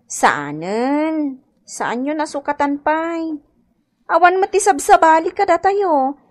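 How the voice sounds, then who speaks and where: A woman's voice: sits high, expressive, slow. A woman acting a kind, helpful young daughter-in-law in a radio comedy drama, sympathetic, with an Ilocano accent. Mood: kind, apologetic. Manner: sympathetic, obliging.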